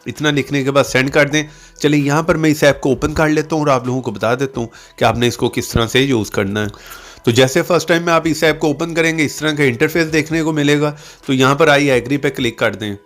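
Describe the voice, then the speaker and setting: A man's voice: fairly high pitch, fairly even intonation, brisk, a bit bright. A man hosting a tutorial channel, narrating step-by-step instructions on how to use an app.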